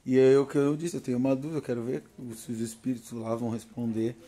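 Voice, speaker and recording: A man's voice: medium pitch, fairly even intonation, fast talker, warm tone. A man in his thirties with a southern Brazilian accent, vlogging casually into a phone at arm's length. He is tired and nervous, chatting loosely and laughing often. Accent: southern Brazilian Portuguese. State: tired from no sleep. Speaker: paranormal vlogger. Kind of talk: casual selfie vlog. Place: home porch, then inside a car. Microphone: phone held at arm's length.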